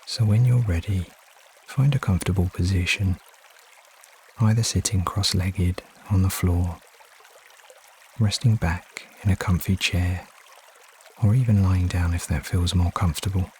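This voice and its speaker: Low-pitched man guiding a meditation. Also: slight background noise. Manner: unhurried, soothing instruction with frequent pauses. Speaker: meditation guide. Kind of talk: guided meditation narration. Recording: steady water ambience behind voice. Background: trickling stream water sounds.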